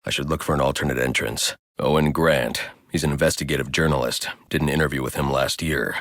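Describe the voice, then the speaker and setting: An actor voicing a grim, brooding masked vigilante detective in a video game, speaking in a terse, stern, deadpan way. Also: male voice, very deep voice, brisk, no echo, studio quality. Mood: analytical, deadpan. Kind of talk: scripted video game voice lines.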